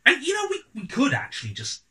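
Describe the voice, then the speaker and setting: A British man in his thirties, a podcast writer, chatting in a droll, playful, wordy way through a casual pitch. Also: wildly swooping pitch, a little fast, pushed and bright. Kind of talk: casual two-person crowdfunding pitch. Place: small living room, on a sofa.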